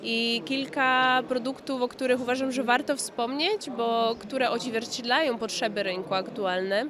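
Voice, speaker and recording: A woman with a slightly high voice answers as a company representative in an interview. She explains things with enthusiasm into a handheld microphone, while the busy hubbub of an exhibition hall is clearly heard behind her. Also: steady tone, unhurried. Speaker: company marketing manager being interviewed. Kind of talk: spontaneous trade fair interview. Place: indoor exhibition hall in front of backdrop. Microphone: handheld interview microphone just below frame. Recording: busy trade fair hall crowd noise. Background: crowd hubbub.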